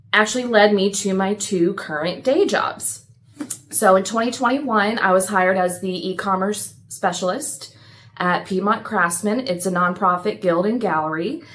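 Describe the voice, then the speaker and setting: Woman in her thirties, from Virginia, mid-pitched, easygoing vlog host. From Appalachian Virginia, US. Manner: chatty, easygoing, with light laughs. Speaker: metalsmith and maker. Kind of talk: casual talking-to-camera vlog.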